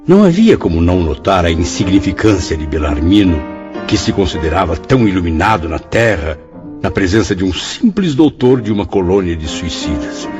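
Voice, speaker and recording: A man's voice: deep voice, big pitch swings, brisk, music in the background. An actor playing an eloquent, confiding male novelist spirit in a radio drama, speaking in formal, florid phrases. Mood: confiding, wry. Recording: muffled radio drama with background noise.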